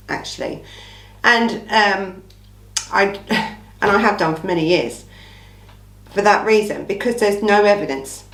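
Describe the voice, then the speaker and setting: A woman from England hosting a casual true crime Q&A, her voice croaky from a cold, talking in a blunt, chatty, opinionated way. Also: lowish voice, echoey room, recorded from a distance. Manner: chatty, blunt, opinionated, says "listen" often. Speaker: true crime channel host. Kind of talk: casual Q&A monologue.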